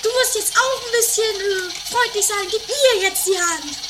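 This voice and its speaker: A woman's voice: extremely high pitch, moderate pace, very noisy. A woman playing a nagging, snippy sister in a theatrical comedy radio play. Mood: conciliatory. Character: anarchic, nagging woman, the other bickering sister.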